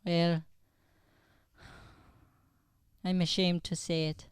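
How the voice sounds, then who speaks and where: Bashful young Irish lad for improv radio comedy, played by a man: very smooth tone, halting delivery, dry close sound. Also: on the high side, flat delivery, no room sound, close to an everyday voice. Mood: timid, hesitant. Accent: Irish, put on. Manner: hesitant, stammering. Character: timid, socially anxious young Irish schoolboy. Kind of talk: improvised old-time radio comedy play.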